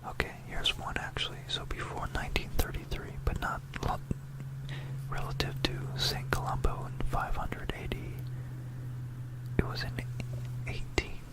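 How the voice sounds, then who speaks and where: An ASMR host telling history in a breathy, unvoiced whisper, meandering with playful asides to the listener, close to a binaural microphone. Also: moderate pace, low-quality recording.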